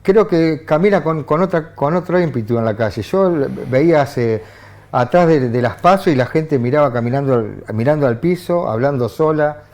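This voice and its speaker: A man with an Argentine accent, a journalist guest on a TV political panel who talks in halting phrases with frequent restarts and fillers. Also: a little high, expressive, darkish tone. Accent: Argentine Spanish. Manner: hesitant, many restarts and fillers. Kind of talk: TV studio political discussion. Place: TV studio.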